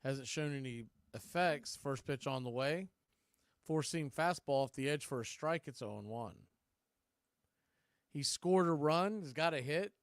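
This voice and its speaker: A male American baseball announcer gives live play-by-play. His voice is smooth and resonant, slightly high for a man, and recorded dry with very little room around it. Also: animated, unhurried, dry recording.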